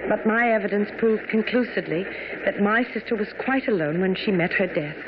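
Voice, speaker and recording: A woman's voice: medium pitch, brisk, close to an everyday voice. Actress as anxious young Victorian woman. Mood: careful recounting. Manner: earnest, formal, precise. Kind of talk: radio drama acting. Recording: noisy, dull old radio broadcast.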